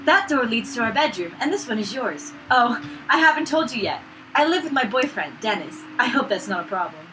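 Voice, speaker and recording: Woman reading as a high-voiced, brisk young florist. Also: sing-song. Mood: welcoming. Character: friendly young Frenchwoman florist, brisk, chatty landlady. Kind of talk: reading visual novel dialogue aloud. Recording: distant mic with clear background noise.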